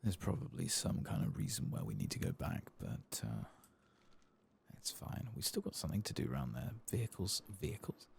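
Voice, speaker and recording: The soft, very low voice of a man on a late-night ASMR game stream, murmuring and half-whispering as he plays, a faint hiss behind him. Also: big pitch swings, moderate pace, creaky. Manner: soft-spoken, often slipping into whispers. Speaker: host of an ASMR game playthrough.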